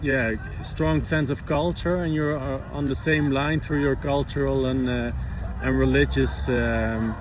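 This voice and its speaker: A man's voice: mid-range voice, steady tone, a little slow, few pauses. A man from the Netherlands, a visiting physiotherapist, answering interview questions in a hesitant way with many fillers and restarts. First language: Dutch. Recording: outdoor traffic noise behind.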